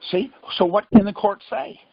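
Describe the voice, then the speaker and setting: A man's voice: sits high, normal speed, creaky, slightly rough, no echo. A man coaching by question and answer, insistently quizzing his listener in a Socratic way on a conference call. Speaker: teacher leading a group conference call. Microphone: conference phone call. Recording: muffled, lo-fi conference call audio.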